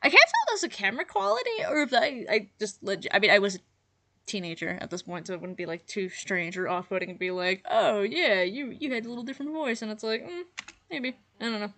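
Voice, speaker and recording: A woman's voice: medium pitch, lively intonation, fast talker, bright, forceful tone. A young adult woman hosting her own channel, chatting casually in a reaction video. She has just woken up, so her voice is nasal, and she rambles with lots of fillers and laughs at herself. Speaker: channel host.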